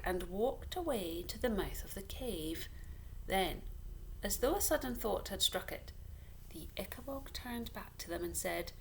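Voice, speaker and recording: Slow, slightly creaky woman reading a story. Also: medium pitch, a little hiss or hum. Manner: deliberate, dramatic pauses. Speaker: story reader. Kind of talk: children's storybook narration.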